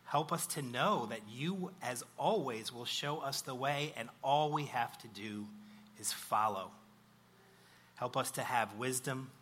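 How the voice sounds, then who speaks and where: A man's voice: mid-range voice, pushed and bright. A man leading a congregation in spoken prayer, earnest and reverent, delivering it phrase by phrase. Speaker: church prayer leader. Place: church service. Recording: live church recording with background noise.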